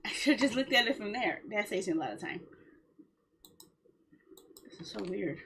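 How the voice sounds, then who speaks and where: A woman's voice: mid-range voice, lively intonation, normal speed, fairly bright tone, slight background noise. A woman game streamer chatting casually on a livestream in a playful, rambling way.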